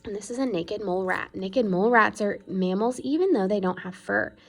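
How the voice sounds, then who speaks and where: A woman's voice: mid-range voice, a little fast. A woman reading an educational children's book aloud in an explanatory way, stressing key words. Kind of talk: read-aloud educational narration.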